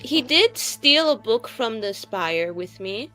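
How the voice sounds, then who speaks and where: A woman's voice: on the high side, big pitch swings, takes it slowly, bright, forceful tone, soft background music, low-quality recording. A woman playing a tabletop roleplaying game, improvising casual conversation as her character.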